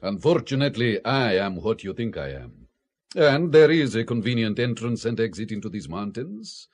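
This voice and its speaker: A man's voice: on the low side, big pitch swings, moderate pace. A man playing a sly, mock-gallant communist colonel in a radio spy drama.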